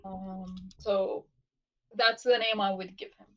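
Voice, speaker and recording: A woman's voice: mid-range voice, little pitch movement, slow pace. Hesitant woman, Arabic native, dull mic. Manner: hesitant, many "like"s and "um"s. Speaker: podcast co-host. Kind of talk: casual chat.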